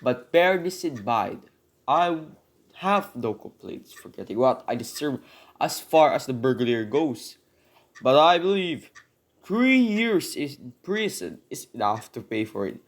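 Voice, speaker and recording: A man plays a poor convicted burglar in an amateur radio drama, with a middling male pitch. He is earnest and embittered, speaking in formal, emphatic phrases like someone determined to be believed. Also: very animated, measured pace. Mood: pleading, bitter. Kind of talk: hobby radio drama acting, long monologue.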